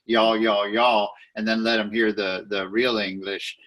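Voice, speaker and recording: Texan guy hosting, slow and deliberate, kinda low but bright. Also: little pitch movement, rough recording. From Texas. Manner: slow, deliberate with many uh fillers. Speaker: interview host. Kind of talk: interview host.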